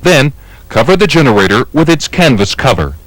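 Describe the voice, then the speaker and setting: A man's voice: mid-range voice, big pitch swings, fairly quick, fairly bright tone, dry recording, poor audio. A man with an American accent reading scripted instructional narration in a formal, methodical, step-by-step way. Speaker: training film narrator.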